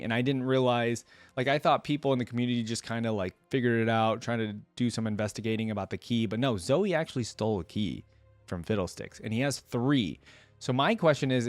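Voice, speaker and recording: A man's voice: mid-range voice, no room sound. A young adult man giving enthusiastic, informal fan commentary as a reaction video host.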